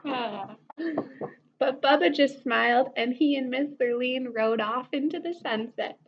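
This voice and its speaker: A woman's voice: high voice, a little slow, creaky. A woman reading a children's story aloud expressively, with playful asides to her listeners. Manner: animated asides to young listeners. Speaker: storybook reader. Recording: dull sound with faint background noise.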